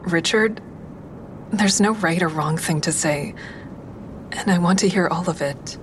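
An actress plays a crisis-line woman in an audio drama, her voice pitched low. She is measured and coaxing with callers, dryly frank when narrating her thoughts. A clear rumbling noise runs underneath. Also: close to an everyday voice. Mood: calm, coaxing. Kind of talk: full-cast audio drama, first-person narration and dialogue.

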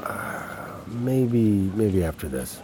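A man's voice: on the low side, big pitch swings, extremely slow, mellow, slight background noise, heavily acted. An actor playing an affable middle-aged security chief and father, easygoing and a little hesitant, in a naturalistic audio drama. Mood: casual. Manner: easygoing, casual, slightly hesitant.

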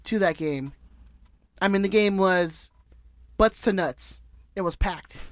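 A man's voice: high voice, slow pace, dry recording. A man co-hosting a podcast, rambling and joking through a sponsor read in casual chat. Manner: rambling, self-correcting, joking. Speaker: podcast co-host.

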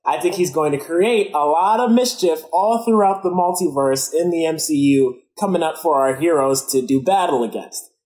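A man's voice: fairly high pitch, animated, moderate pace, few pauses, darkish tone, low-quality recording. A man with a Midwestern accent, chatting and laughing while he lays out a theory step by step. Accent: Midwestern American. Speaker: panelist. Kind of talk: casual theory pitch.

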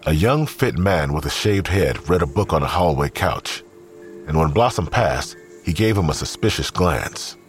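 A man with a very raspy voice reading scripted narration for an audio drama. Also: a bass voice, lively intonation, normal speed, soft background music.

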